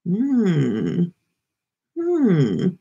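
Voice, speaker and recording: A woman's voice: fairly low pitch, wildly swooping pitch, very slow, creaky, mellow, slightly rough, muffled recording. A woman hosting a casual livestream, chatting with viewers in a playful, rambling way as she thinks aloud. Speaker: livestream podcast host. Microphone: webcam livestream setup.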